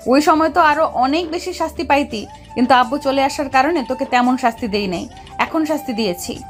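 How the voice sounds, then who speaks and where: A woman's voice: sits high, a little fast, voice pushed up, natural voice. A woman voicing an angry, domineering young female boss in an audio story, scolding and giving orders. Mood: menacing. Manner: scolding, commanding. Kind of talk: Bengali audio story character dialogue. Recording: music under the voice.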